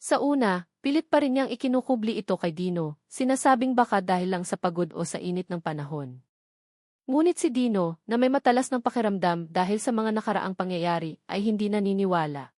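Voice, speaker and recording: A woman's voice: mid-range voice, lively intonation, fairly quick, a little mellow, dry recording, studio quality. A woman with a Filipino accent narrating a scripted romantic drama as an audiobook storyteller.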